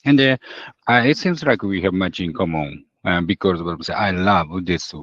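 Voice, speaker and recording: A man with a very deep voice and a Japanese accent chats in a friendly, halting way, searching for words. The sound is dry and somewhat muffled, like a lo-fi call. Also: fairly bright tone, no room sound. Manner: hesitant, apologetic, searching for words. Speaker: event participant commenting to a presenter. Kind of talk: casual, friendly chat. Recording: dull lo-fi call audio.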